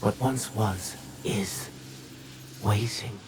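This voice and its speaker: An actor playing a mysterious male mentor who speaks slowly in cryptic riddles. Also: on the low side, background noise. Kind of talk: video game cutscene acting.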